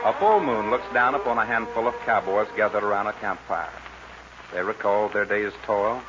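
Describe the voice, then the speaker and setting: A man's voice: on the low side, moderate pace, music in the background. A man announcing and narrating a 1940s western radio show in a folksy showman's delivery. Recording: noisy dull 1940s radio recording.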